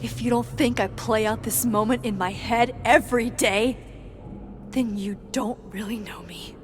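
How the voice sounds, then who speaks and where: Actress as young female game lead: haunted, wry, introspective. Unhurried delivery over noisy ambience. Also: fairly high pitch, lightly acted. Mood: bitter, sorrowful. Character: haunted young woman photographer, wry, guilt-ridden, introspective. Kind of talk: video game cutscene acting, soliloquy.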